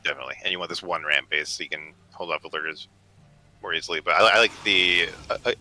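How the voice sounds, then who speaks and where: A man's voice: sits low, quick pace, bright, forceful tone, low-quality recording. A man co-casting a strategy game, chatting casually and giving analysis with lots of fillers. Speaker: esports co-caster. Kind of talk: live game commentary.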